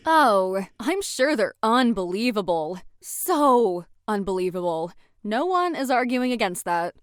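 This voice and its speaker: Woman acting high-voiced scornful cartoon heroine. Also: big pitch swings, unhurried, smooth, dry recording, clean studio sound. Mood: sarcastic. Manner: sarcastic, indignant. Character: scornful orphaned female bounty hunter, proud and combative. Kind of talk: animated comedy acting.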